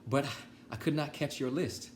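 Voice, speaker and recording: A man as a friendly, high-pitched storybook frog. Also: normal speed, a little mellow, a little hiss or hum, lightly acted. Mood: apologetic. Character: friendly, helpful male frog in a children's story.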